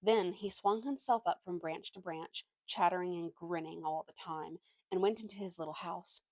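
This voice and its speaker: A woman reading a story aloud with expressive narration and an informal manner, now and then stumbling over a word. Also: on the low side, moderate pace, no room sound, rough recording. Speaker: storybook reader.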